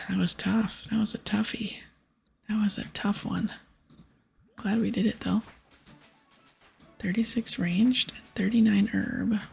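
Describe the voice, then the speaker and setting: A woman softly whispering casual ASMR game commentary, thinking aloud as she plays. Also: mid-range voice, steady tone, takes it slowly, gravelly, long pauses, breathy edge. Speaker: ASMR gaming channel host. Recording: soft music behind.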